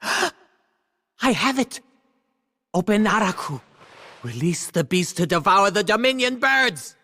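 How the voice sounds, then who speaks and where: An actor playing a petulant, tyrannical king in a video game, shrill, imperious and impatient. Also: very animated, slow pace, pushed and bright, heavily acted. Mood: gleeful, commanding. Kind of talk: dramatic video game acting. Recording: long hall reverb.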